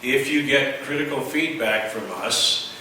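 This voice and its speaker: A wry, fluent man with a self-deprecating touch addresses a conference audience as a journal editor. His ordinary-pitched voice is picked up from a distance, with a strong echo in the hall and steady room noise underneath. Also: a little slow. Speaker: journal editor, former professor. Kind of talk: conference panel remarks. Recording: echoey hall, distant mic, noisy.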